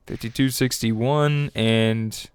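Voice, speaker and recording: A man's voice: mid-range voice, steady tone, slow pace, clear, resonant tone. Stuffy young man narrating gameplay. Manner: chatty, upbeat, with little laughs and fillers. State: stuffy, congested. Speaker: gaming video host. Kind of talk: casual let's-play commentary. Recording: faint music bed behind voice.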